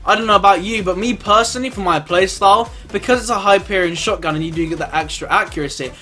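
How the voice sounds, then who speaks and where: A man's voice: high voice, quick pace, bright, forceful tone, soft background music. A man giving an informal gameplay review commentary, chatting directly to his viewers. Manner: informal, addresses viewers as guys. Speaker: video game reviewer and host.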